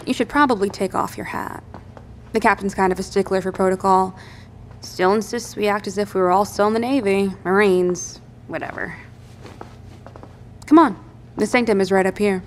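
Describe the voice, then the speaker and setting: An actress playing a helpful, matter-of-fact military lieutenant in an audio drama, speaking briskly and plainly. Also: female voice, mid-range voice, fairly even intonation, a little hiss or hum, natural voice. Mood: businesslike, curious. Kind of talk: naturalistic audio drama dialogue.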